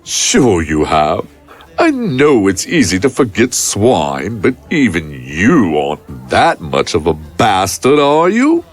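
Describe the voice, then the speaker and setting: A man playing a mock-polite, sinister infernal waiter in a horror audio drama, husky and savouring each phrase. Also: low voice, wildly swooping pitch, slow, faint music behind, heavily acted. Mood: sly taunting. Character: smugly courteous infernal waiter, mocking and sinister.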